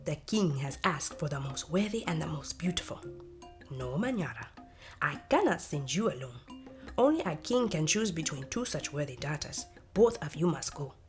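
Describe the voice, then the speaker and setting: Storybook patriarch: proud, fair village father, played by a female narrator, measured and slightly low. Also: animated, faint music behind. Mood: proud, firm. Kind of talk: storybook character voice.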